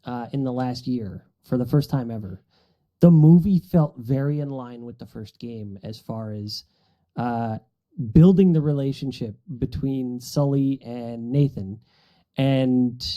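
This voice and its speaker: A man's voice: mid-range voice, unhurried, dull audio. A middle-aged man chatting casually about movies as a podcast panelist, in an explanatory, conversational way.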